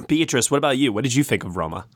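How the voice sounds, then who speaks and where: A man's voice: mid-range voice, animated, very fast, husky, no echo. A man hosting a film review discussion, speaking in a wordy, emphatic way. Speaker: podcast host.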